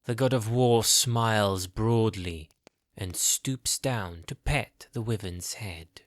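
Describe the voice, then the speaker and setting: A man's voice: on the low side, slow, no echo, clean studio sound. A man narrating a scripted fantasy story as its narrator.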